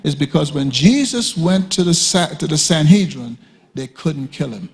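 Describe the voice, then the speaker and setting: A man teaching a sermon at a conference, emphatic and repetitive, often leaping high to act out quoted speakers. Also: lively intonation, normal speed, creaky, a little mellow. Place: church hall. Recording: reverberant hall.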